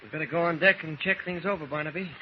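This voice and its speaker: Casting: male actor as modest but commanding leading man, lighter pitch, dull hissy old radio serial sound. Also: fast, lightly acted. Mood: weary relief. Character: calm, commanding, modest newspaperman hero. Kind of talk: 1940s radio acting. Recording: muffled, noisy old radio recording.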